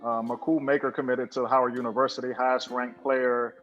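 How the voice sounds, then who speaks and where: A man co-hosting a casual panel chat, reading out viewer names with fillers and pauses. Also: mid-range voice, little pitch movement, a bit bright, muffled recording, faint music behind. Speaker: co-host.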